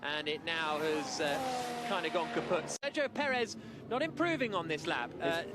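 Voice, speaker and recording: A man's voice: fairly high pitch, lively intonation, moderate pace, heavy background noise. A man giving live motor racing commentary, with race cars and track ambience behind him.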